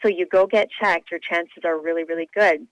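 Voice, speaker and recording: A woman's voice: on the low side, steady tone, normal speed, dry recording. A woman speaking in an upbeat, emphatic, conversational way as an interview guest over the telephone. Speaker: radio show personality being interviewed as charity event organizer. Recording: dull lo-fi telephone line.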